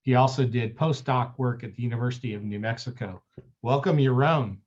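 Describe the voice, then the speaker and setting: A man hosting a webinar on a video call. He reads out a long guest introduction in a friendly, slightly halting way. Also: medium pitch, darkish tone, lo-fi sound. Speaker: webinar moderator.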